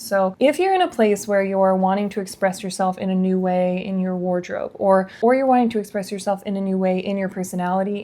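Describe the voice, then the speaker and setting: A woman's voice: medium pitch, darkish tone. A woman in her thirties who vlogs about fashion and beauty, speaking in an earnest, reflective, encouraging way with plenty of casual fillers. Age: 30s. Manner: frequent fillers like "like" and "kind of". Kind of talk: candid talking-to-camera vlog.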